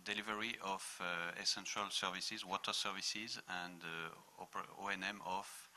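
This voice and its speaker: This is a man introducing himself on a panel. His voice is deep but bright in tone. He speaks haltingly, pausing on "uh" between nearly every phrase. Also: fairly even intonation, slight background noise. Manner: halting, heavy fillers. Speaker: water company manager on a panel.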